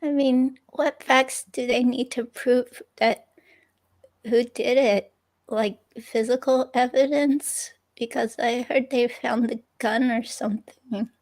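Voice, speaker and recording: A woman's voice: on the high side, fairly even intonation, takes it slowly, a little mellow. A woman reading the part of a chatty, curious ex-girlfriend in a police interview, in casual, hesitant phrasing. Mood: curious, questioning.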